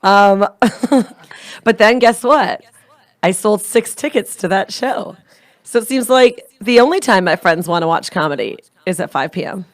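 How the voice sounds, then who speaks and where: A woman comedian co-hosting a podcast, telling rambling, animated stories in casual chat and laughing at her own jokes. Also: mid-range voice, a little slow. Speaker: stand-up comedian, podcast co-host.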